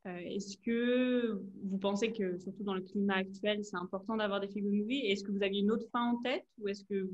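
A woman's voice: medium pitch, steady tone, normal speed, few pauses, a little mellow. A woman hosting a Q&A over a video call, asking long, winding, hesitant questions in a conversational style, with slightly dull sound. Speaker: interviewer, Q&A host.

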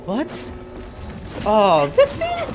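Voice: medium pitch, wildly swooping pitch, extremely slow. A streamer giving casual gameplay commentary, playful and exclamatory, recorded in a room at home. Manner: playful, crude joking, exclamatory. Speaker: gaming streamer. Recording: game music behind.

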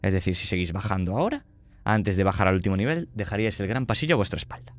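A man's voice: deep voice, lively intonation, fast. A man narrating a tabletop horror game as game master, speaking conversationally and checking in with his players.

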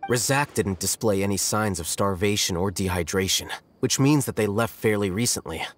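An actor playing a cool, analytical young scholar in a video game, speaking in a measured, precise, detached way. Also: male voice, on the low side, no echo, faint music behind, natural voice.

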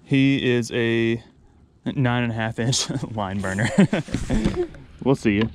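Excitable man fishing from a boat. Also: medium pitch, slow, slight background noise. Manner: excitable, laughs often, repeats words when hooked up. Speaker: fishing vlogger. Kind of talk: excited outdoor fishing commentary. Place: small boat in a flooded swamp, outdoors. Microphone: action camera mounted on the boat.

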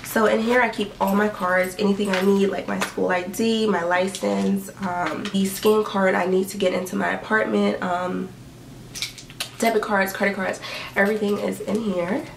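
A woman's voice: mid-range voice, level tone, normal speed, gravelly, background noise. A young woman chatting casually to camera in her bedroom, a bit stuffy and sniffly from allergies, chatty and upbeat with lots of "like" and "um". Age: young adult. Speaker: beauty and fashion vlogger. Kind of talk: casual what's-in-my-bag chat. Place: bedroom indoors. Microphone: camera in front at desk distance. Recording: roomy bedroom echo, mic a bit far.

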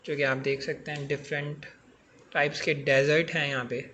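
A man's voice: on the high side, little pitch movement, normal speed, bright, forceful tone, slight background noise. A man in his twenties vlogging about a restaurant buffet in a casual, chatty way, often saying "so guys" and mixing in English phrases. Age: 20s. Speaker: food vlogger. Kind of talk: casual vlog voice-over.

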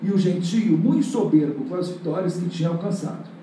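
A man's voice: sits high, fairly quick, warm tone, a little hiss or hum. An older man giving a lecture, reading an old historical text aloud and breaking off to comment on it, recorded from a distant mic in an auditorium. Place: auditorium with panel table. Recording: echoey hall recorded from afar.

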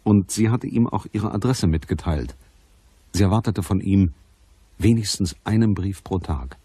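A man's voice: deep voice, normal speed, dark tone, slightly rough, dry recording. An actor playing a sceptical detective who narrates his case in a dry, composed way for a crime radio drama. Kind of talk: scripted first-person crime narration.

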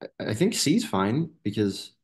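A man's voice: fairly low pitch, big pitch swings, a little slow, darkish tone, slightly rough. A young man on a video call, a student tentatively reasoning aloud through practice exam questions. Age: young adult. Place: indoor room on a video call.

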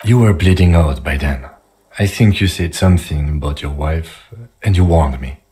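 An actor playing a calm, dutiful police lieutenant in a video game, speaking in a measured, clipped, understated way. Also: male voice, extremely low pitch. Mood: subdued, factual. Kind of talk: video game dialogue acting.

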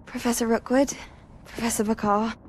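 A woman's voice: fairly high pitch, flat delivery, moderate pace, darkish tone, slight background noise, close to an everyday voice. An actress playing a polite, earnest young female student of magic in a fantasy game cutscene. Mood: grateful, earnest. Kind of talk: dramatic game cutscene dialogue.

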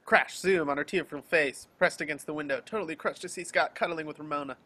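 A man's voice: fairly high pitch, fast talker, sharp tone. A man narrating scripted action and scene headings for an amateur table read. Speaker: stage-direction reader. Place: home, group video call.

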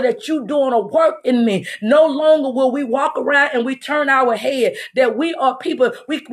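A middle-aged woman preaching and praying in a fervent, emphatic, repetitive charismatic style. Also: sits high. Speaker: apostle leading a livestream prayer broadcast. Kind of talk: fervent charismatic sermon and prayer. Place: room at home, indoors.

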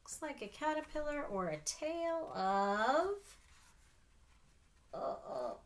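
Middle aged lady doing storytime for kids, slow pace, singsongy, voice goes up and down a lot. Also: high-pitched. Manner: expressive, sing-song, asking the listeners questions. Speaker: aunt reading a picture book to children. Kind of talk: animated read-aloud storytelling with casual asides. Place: small room at home with sloped ceiling.

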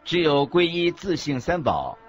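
A man playing an earnest Buddhist master who teaches in a measured, didactic way in a scripted radio drama. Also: on the high side, sing-song. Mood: earnest, instructive. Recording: dull lo-fi audio with background music. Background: music.

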